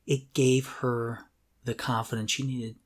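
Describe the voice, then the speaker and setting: A man's voice: medium pitch, flat delivery, measured pace. A man co-hosting a podcast in casual conversation, speaking in a candid, self-deprecating way. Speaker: podcast co-host.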